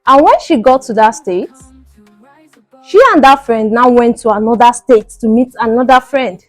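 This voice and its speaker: A woman's voice: a little high, normal speed, no room sound, faint music behind. A woman with a Nigerian accent hosting a story programme, telling a story conversationally with rhetorical questions. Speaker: storytelling show host. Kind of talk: narrated personal story.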